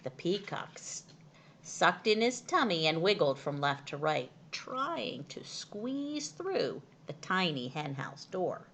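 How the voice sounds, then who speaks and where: A woman reading a children's storybook aloud as an expressive narrator. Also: fairly low pitch, takes it slowly, vocal fry. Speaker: storytime reader.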